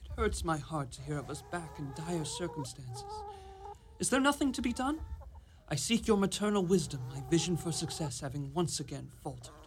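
A woman acts a grandiose young lad in a fairy-tale radio play. The voice is lowish but swings widely, full of florid boasting and cowardly fuss. There is some noise behind it. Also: big pitch swings, moderate pace. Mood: self-pitying. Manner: theatrical, florid, pompous. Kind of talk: live radio play acting. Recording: live studio recording, noisy ambience.

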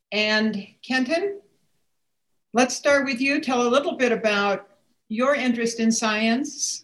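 Slightly high-voiced woman radio host. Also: low-quality recording. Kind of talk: radio show introduction.

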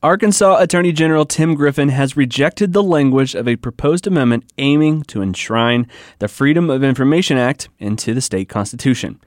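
A man's voice: mid-range voice, a little fast, dry recording, clean studio sound. A man reporting the news in a scripted narration style.

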